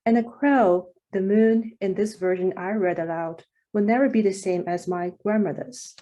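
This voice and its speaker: Mellow-voiced woman. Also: medium pitch, normal speed, dull audio. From China. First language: Mandarin Chinese. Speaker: panelist poet. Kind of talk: reading prepared commentary.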